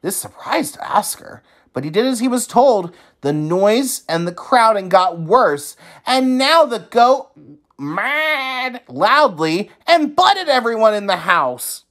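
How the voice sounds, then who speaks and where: A man reading a children's story aloud in an expressive, emphatic teacher's manner. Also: high voice, slow.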